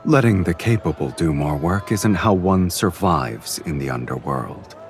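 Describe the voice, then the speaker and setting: An actor playing a cool, teasing, powerful male fiend in a romantic fantasy game, speaking in a smooth, measured, understated way. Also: deep voice, animated, soft background music. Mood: cool, amused.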